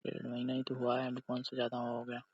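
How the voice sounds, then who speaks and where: A man's voice: mid-range voice, completely flat delivery, fast talker, a little hiss or hum. A young man talking casually on a recorded phone call, defensive and dismissive. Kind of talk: casual phone argument with sister.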